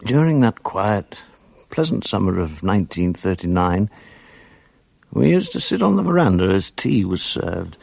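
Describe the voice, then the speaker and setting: An older man narrating his memories in a wistful, measured way. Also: sits low, sing-song, vocal fry, darkish tone, slightly rough, dry recording. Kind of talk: reflective radio drama narration.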